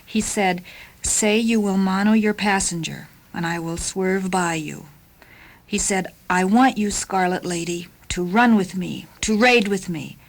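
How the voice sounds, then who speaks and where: Radio drama, actress as female thinking car: hushed, hesitant, lovelorn, mid-range voice. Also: mid-range voice, measured pace. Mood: haunted. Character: soft-spoken female sentient car, lovelorn and doubting. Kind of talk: radio story character acting.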